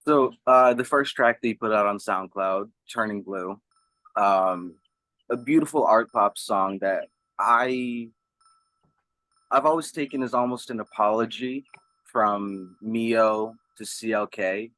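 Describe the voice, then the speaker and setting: A man's voice: fairly low pitch, slow, bright, forceful tone, no echo, poor audio. A man working as an interviewer, asking questions in a conversational way.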